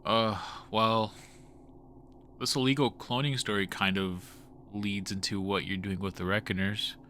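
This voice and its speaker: A man's voice: on the low side, steady tone, a little slow, pushed and bright, a little hiss or hum. A man playing a breezy, talkative reporter in a radio drama, speaking in a casual, rambling way. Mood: hesitant, pleased.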